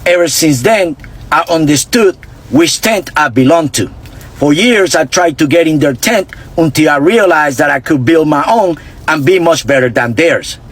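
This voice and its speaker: Puerto Rican-accented man, 50s, veteran commentator, blunt storyteller, sits a bit high, curses now and then. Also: expressive, normal speed, husky. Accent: Puerto Rican Spanish. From Puerto Rico. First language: Spanish. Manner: blunt and earnest, with occasional cursing. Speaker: army veteran turned political commentator. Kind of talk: storytelling monologue on social media.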